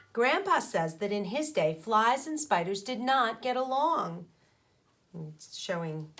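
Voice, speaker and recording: Slow-paced woman teacher reading aloud to children. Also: on the low side, fairly bright tone. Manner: didactic, pauses to question the young listeners. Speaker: elementary school teacher. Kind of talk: children's read-aloud with explanatory asides.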